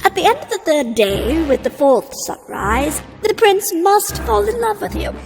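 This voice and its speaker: A woman voicing a scheming, menacing sea witch in a children's animated fairy tale, drawing out her words ominously. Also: very high voice, full character voice. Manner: slow, drawn-out, ominous bargaining. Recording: long cavernous reverb, music underneath.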